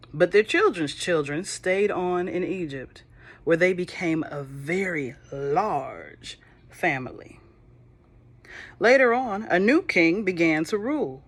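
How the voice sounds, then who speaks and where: Lady reading a kids bible story real slow, gentle and chatty with the kids. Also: lowish voice, breathy edge. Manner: gentle, chatty, affectionate asides to children. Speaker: storybook reader for children.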